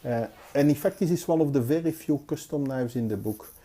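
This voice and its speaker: Mellow, dark-voiced Belgian man reviewing. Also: medium pitch. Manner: hesitant, halting, unscripted delivery. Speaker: knife collector and reviewer. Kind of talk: casual hands-on review commentary. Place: indoors, at a table.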